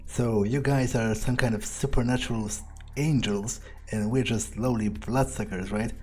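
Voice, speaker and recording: A man's voice: medium pitch, steady tone, a little mellow, natural voice. A man roleplaying a guarded, blunt, sardonic vampire in a video game, with a wry, hesitant, thinking-aloud delivery. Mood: sardonic, probing. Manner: wry, unhurried, hesitant musing, casual swearing. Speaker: let's player. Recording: faint music behind the voice. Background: faint game music.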